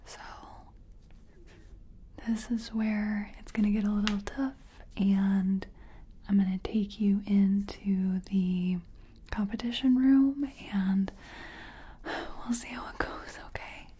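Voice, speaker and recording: A woman doing a soft-spoken ASMR personal attention roleplay, murmuring gently in short phrases with a breathy whisper. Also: medium pitch, fairly even intonation, slow pace, pauses often, a little hiss or hum. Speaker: ASMR creator role-playing a makeup artist.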